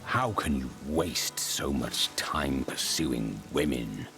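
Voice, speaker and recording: A grave, stoic warrior from a fantasy game, with an extremely low voice. He speaks slowly and formally, in blunt phrases that still swing in pitch, over clear background music. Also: animated, bright, forceful tone, exaggerated voice. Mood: stern, curious. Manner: solemn, terse, formal. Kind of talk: fantasy video game acting.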